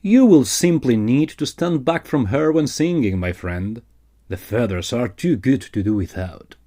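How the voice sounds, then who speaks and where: Man as a mellow, composed Victorian opera colleague. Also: medium pitch, lively intonation, a little slow. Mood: courteous. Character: composed, encouraging Victorian opera company member. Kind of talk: period farce audio drama.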